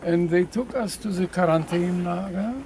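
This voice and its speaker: A man, giving halting spoken testimony outdoors, often repeating words as he searches for phrases. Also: sits high, darkish tone. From Poland. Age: 80s. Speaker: survivor interviewee. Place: outdoors at a memorial site. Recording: outdoor noise in background.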